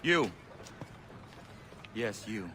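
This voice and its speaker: An actor playing a stern, resolute warrior in a game, with a terse, commanding, formal manner. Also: male voice, lowish voice, very animated, extremely slow, bright, forceful tone, slight background noise, heavily acted. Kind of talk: scripted game drama dialogue.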